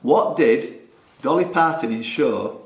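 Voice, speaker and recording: A man's voice: medium pitch, expressive, slow, gravelly. A man hosting a live pub quiz, with jokey, punning asides. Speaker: quiz master. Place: social club room. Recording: roomy club hall with some noise.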